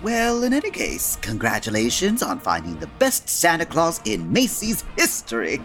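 A woman's voice: deep voice, wildly swooping pitch, moderate pace, faint music behind. A woman acting a prim, effusive, easily shocked store employee with old-fashioned manners, in a 1940s-style radio play. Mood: chipper, polite.

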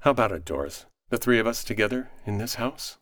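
Man acting as kindly lawyer, higher-pitched male voice, lively intonation, persuasive, radio drama. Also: brisk, no echo, studio quality, close to an everyday voice. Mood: gently persuasive. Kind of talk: holiday radio play acting.

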